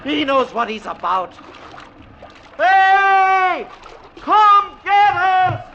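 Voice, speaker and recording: An actor playing a hopeful, reassuring captain of a lifeboat in an old radio drama, earnest and commanding. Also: male voice, very high-pitched, expressive, drawn-out words, sharp tone, highs cut off, slight background noise, heavily acted. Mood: urgent, calling out. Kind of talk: 1940s-50s radio acting.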